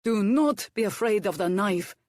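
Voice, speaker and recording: Woman narrator doing a gruff foreign male cook, broken English, curt commands, raised pitch, dry close sound. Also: expressive, normal speed, a little mellow, dry recording. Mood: bossy.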